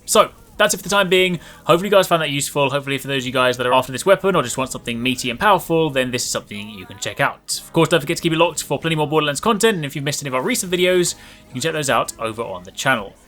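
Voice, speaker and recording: A man's voice: on the high side, animated, very fast, sharp tone. A man hosting a gaming guide in an upbeat, chatty YouTuber style with emphatic stresses. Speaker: gaming video host. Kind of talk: scripted video game guide narration.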